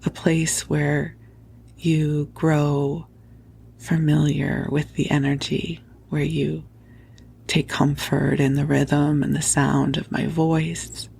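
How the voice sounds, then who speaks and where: A woman whispering softly and tenderly into a close microphone as she hosts a spiritual sleep podcast, soothing and affectionate. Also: low-pitched, little pitch movement, slow pace. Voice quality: hushed, breathy whispering. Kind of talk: whispered guided sleep talk, spiritual meditation. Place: at home under a blanket.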